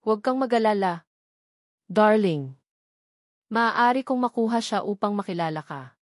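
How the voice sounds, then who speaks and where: A woman's voice: medium pitch, expressive, unhurried, no room sound, close to an everyday voice. Woman voicing a cheeky, flirtatious male warrior, dry sound. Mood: soothing, flirty. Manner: teasing, flirtatious.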